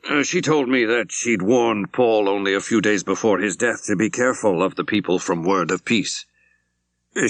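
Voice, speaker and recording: A careful, troubled male bishop, played by a male narrator speaks in a lowish voice with a dry, close sound. His phrasing is formal, deliberate and a little hesitant, as in an audiobook mystery. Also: fairly bright tone, dry recording. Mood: careful, uneasy.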